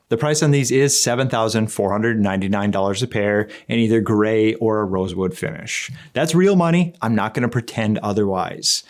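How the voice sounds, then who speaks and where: A man narrating a conversational scripted review as an equipment reviewer, explaining things in a plain-spoken, opinionated way. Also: medium pitch.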